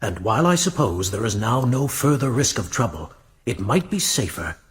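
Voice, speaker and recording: An actor playing a grave, cautious letter writer, reading formally in a raspy voice in a radio play. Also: male voice, medium pitch, sing-song, moderate pace. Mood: guarded, formal.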